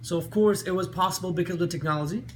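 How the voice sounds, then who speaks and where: Earnest Nepali-accented man, high voice. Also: slight background noise. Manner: earnest, careful reading with pauses and small slips. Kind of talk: read-aloud video talk.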